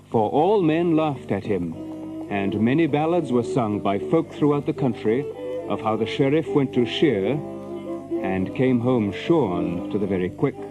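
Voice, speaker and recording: An actor as the narrator of an old English legend, reading dramatic storybook prose in an archaic style. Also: male voice, mid-range voice, lightly acted, low-quality recording. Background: music.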